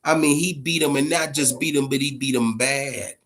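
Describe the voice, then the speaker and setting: A man's voice: medium pitch, normal speed, fairly bright tone. A man with an American accent hosting a casual boxing chat show, giving opinions in profane, slangy language and repeating phrases for emphasis.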